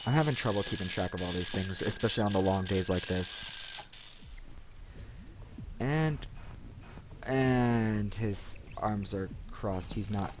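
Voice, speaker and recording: Low-voiced man doing gaming commentary. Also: unhurried, long pauses, background noise. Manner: rambling, thinking aloud. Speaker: let's play host. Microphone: desk microphone. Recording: music behind the voice. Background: video game music.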